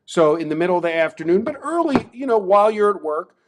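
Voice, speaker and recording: Host, male, Baltimore accent, slightly raised pitch, talky promo patter. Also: sing-song, quick pace, noisy background. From Baltimore, Maryland. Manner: chatty, promotional, rambling. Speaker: show host. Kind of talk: casual sports betting talk.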